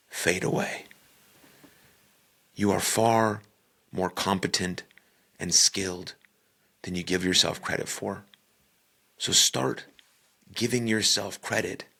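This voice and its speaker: Slow, gravelly man guiding a meditation. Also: sits low. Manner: calm, deliberate, with long pauses between short phrases. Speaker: podcaster leading guided meditation. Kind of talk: guided meditation narration.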